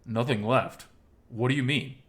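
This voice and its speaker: A low-voiced, protective bar owner played by a man in a dramatized story. He speaks gently and calmly, with concern and steady reassurance. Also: normal speed, natural voice. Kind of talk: dramatized audio story dialogue.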